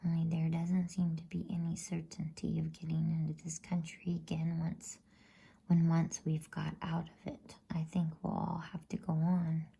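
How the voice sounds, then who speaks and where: Woman whispering slowly, low and creaky. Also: completely flat delivery. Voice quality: soft breathy whisper. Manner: gentle, unhurried, soothing. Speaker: ASMR storyteller. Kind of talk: whispered ASMR bedtime story reading.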